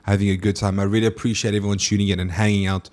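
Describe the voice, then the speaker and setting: A man's voice: lowish voice, little pitch movement, very fast, darkish tone. A man, hosting a casual stream chat and talking to his viewers, loose and full of swearing. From South Africa. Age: 20s. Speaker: streamer host.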